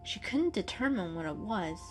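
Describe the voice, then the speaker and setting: A woman's voice: medium pitch, big pitch swings, normal speed. A woman narrates a children's story as a dramatic reading. She works as a storyteller guiding the listener through scenes. Music plays behind her voice.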